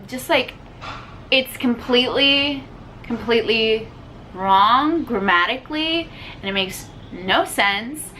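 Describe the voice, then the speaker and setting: Bright-voiced young woman ranting about books. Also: on the high side, slight background noise, rough recording. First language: Spanish. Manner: animated ranting, lots of fillers. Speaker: book vlogger. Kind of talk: casual book review chat.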